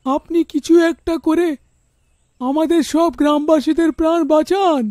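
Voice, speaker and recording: Woman voicing a high, worried village man. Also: steady tone, normal speed, dark tone, dry recording, studio quality, lightly acted. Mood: worried pleading. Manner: pleading, worried. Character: anxious village headman, adult male, earnest. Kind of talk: cartoon fairy tale acting.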